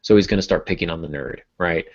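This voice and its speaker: A man's voice: low-pitched, fast. A man hosting a podcast, explaining things casually like a teacher talking to writers. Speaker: podcast host and writing teacher. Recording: dull, muffled lo-fi audio.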